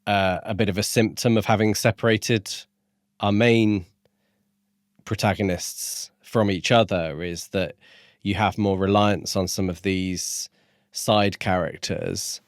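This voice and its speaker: Low-voiced man reflecting on a book. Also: steady tone, a little slow, no room sound, studio quality. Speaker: book podcast co-host. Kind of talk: casual book discussion.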